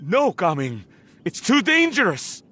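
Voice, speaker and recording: An actor playing a reserved middle-aged merchant father in a video game, speaking in a formal, measured, anxious way. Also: sits high, huge pitch swings, sharp tone, a little hiss or hum, exaggerated voice. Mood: worried.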